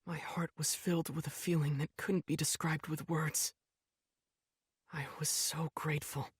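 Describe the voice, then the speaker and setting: A man's voice: a little high, level tone, unhurried, breathy edge, no echo, clean studio sound. A man playing an earnest, emotional teenage boy demon slayer, narrating reflectively in an anime game. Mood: moved, grateful. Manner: earnest, heartfelt, softly reflective.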